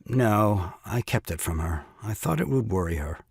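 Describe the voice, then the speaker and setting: An actor playing a glib, evasive young husband, self-justifying, in a theatrical period farce audio drama. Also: male voice, on the low side, expressive, normal speed, creaky, breathy edge, no room sound, studio quality, heavily acted. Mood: defensive.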